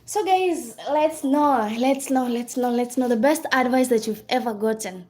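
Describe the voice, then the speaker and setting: A Kenyan woman with a notably high voice, talking loosely and informally as she hosts a livestream. She reads viewers' comments aloud, answers them in a chatty way, and keeps thanking people by name. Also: measured pace, darkish tone. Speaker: livestream host. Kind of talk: casual livestream chat.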